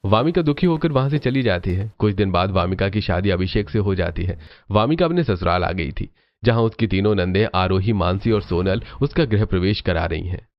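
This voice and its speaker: A man with a fairly low voice reads scripted narration for a cartoon story. He serves as the storyteller. The sound is a little dull. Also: animated, normal speed.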